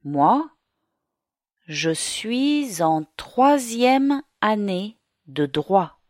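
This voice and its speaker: A woman reading the part of a young French law student in a slow learner's dialogue. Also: medium pitch, big pitch swings, dry recording, clean studio sound. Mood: friendly. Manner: slow, carefully articulated reading.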